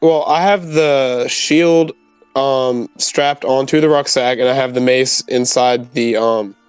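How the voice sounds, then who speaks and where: A man's voice: medium pitch, steady tone, slow, clear, resonant tone, no room sound. A man chatting casually as a player in a tabletop roleplaying game. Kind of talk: casual chat. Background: music.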